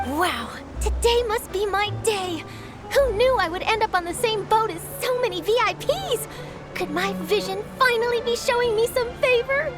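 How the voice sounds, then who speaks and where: Bubbly ambitious girl reporter, anime game style, really high lively voice, dramatic, music behind. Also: moderate pace. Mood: starstruck, excited. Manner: perky, gushing, theatrical. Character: eager, ambitious young woman newspaper reporter, bubbly and dramatic.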